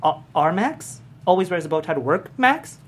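A woman acts out a teasing teenage boy with a low, very lively voice. He is playful and theatrical, in a drama read-through. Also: moderate pace, breathy edge. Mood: thrown, dramatic. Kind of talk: script table read, acted.

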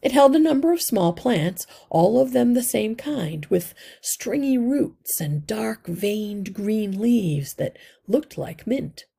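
A woman reading a children's novel aloud as an expressive storyteller. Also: mid-range voice, slow pace, creaky, hardly any pauses, husky. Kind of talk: expressive scripted narration for children.

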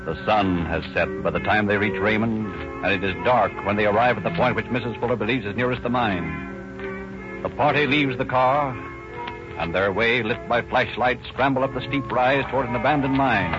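A man narrating a 1930s radio crime drama in a measured, formal way. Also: mid-range voice. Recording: dull old radio recording over music.

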